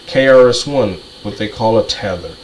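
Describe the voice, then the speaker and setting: A man's voice: fairly low pitch, normal speed, poor audio. Ranting man with faint noise behind. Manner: ranting, repetitive, profane. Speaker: commentator. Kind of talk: heated monologue.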